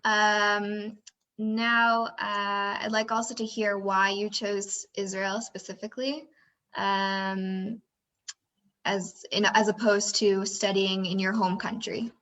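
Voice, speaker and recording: A woman with a bright voice hosts a student panel, her pitch staying fairly even. She keeps things organized and friendly, with the odd um or uh between questions. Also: slow. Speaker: moderator of a student Q&A. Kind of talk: hosting an online panel.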